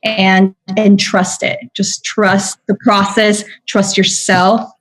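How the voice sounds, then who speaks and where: A woman's voice: mid-range voice, flat delivery, slow pace, soft background music, low-quality recording. A young adult woman from Venezuela, a podcast guest chatting earnestly with many fillers and restarts. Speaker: podcast guest, spiritual guide. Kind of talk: casual interview chat.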